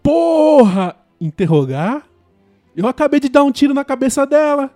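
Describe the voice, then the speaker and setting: A man's voice: very high-pitched, lively intonation, moderate pace, darkish tone, no room sound, faint music behind. A man playing a brash, impulsive, foul-mouthed gunman and crime fighter in an audio drama, slangy and blustering. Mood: defensive.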